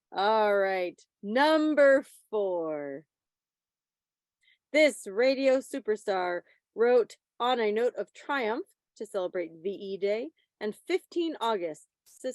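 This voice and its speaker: A woman's voice: mid-range voice, a little mellow, dry recording. A woman with a playful, teasing, chatty manner, casually presenting a quiz on a video call. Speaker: quiz host at a club meeting. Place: online video meeting.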